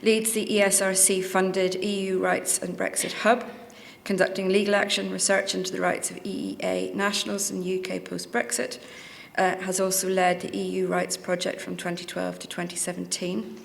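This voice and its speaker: A woman's voice: mid-range voice, little pitch movement, moderate pace, vocal fry. A woman hosting an event, reading out speaker biographies with light asides. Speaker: event host introducing speakers. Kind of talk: formal speaker introduction. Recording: reverberant hall sound.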